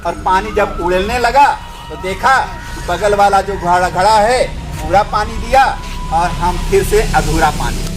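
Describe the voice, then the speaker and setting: A man tells a story as a teacher to young pupils. He explains in simple phrases and keeps prompting them with questions, with music playing clearly underneath. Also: high voice, measured pace, low-quality recording. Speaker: teacher telling a moral story to schoolchildren. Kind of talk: animated storytelling to a class, with questions to listeners.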